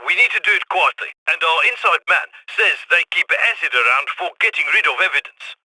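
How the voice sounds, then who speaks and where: An actor playing a businesslike heist crew handler, giving brisk orders over the radio in a video game. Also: male voice, fairly high pitch, animated, bright, forceful tone, husky, no echo, heavily acted, rough recording. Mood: calm orders.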